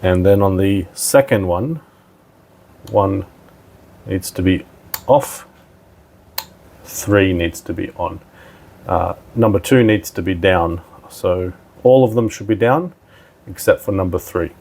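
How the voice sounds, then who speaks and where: A man's voice: low voice, slow pace, vocal fry, long pauses, darkish tone, a little hiss or hum. A man giving a casual, plain-spoken step-by-step technical demonstration as a tutorial presenter.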